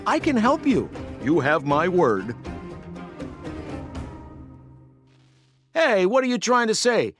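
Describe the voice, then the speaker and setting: Game role: smooth-talking swindler guide, boastful, salesman-like, very animated delivery. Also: sits high, moderate pace, soft background music, heavily acted. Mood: defensive, boastful. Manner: theatrical, salesman-like, wheedling. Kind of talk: video game voice acting.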